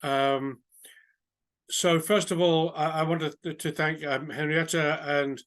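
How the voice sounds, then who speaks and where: A man, hoarse from a cold, speaks in a fairly high voice. He talks haltingly, with frequent ums, pauses and restarts. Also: fairly even intonation, a bit bright. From England. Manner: hesitant, many ums and restarts. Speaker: project lead. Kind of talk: webinar remarks.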